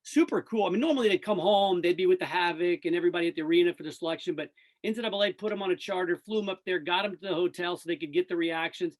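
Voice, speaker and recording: A man's voice: sits high, rapid-fire. An American man, a radio play-by-play announcer, chatting casually and upbeat as a guest in a sports interview.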